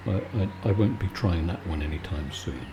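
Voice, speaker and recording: A man giving a calm, soothing, conversational bedtime chat in a relaxed ASMR style. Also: low voice, normal speed, background noise. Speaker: video maker and host.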